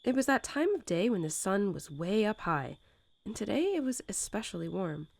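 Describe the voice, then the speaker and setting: A woman's voice: medium pitch, very animated, gravelly, no echo. A woman playing a young mother wolf who talks tenderly and without hurry to her newborn pups, in the style of a bedtime roleplay audio. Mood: gentle wonder. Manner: tender, unhurried, softly chuckling, hesitant informal phrasing. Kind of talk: soothing bedtime roleplay audio, intimate storytelling.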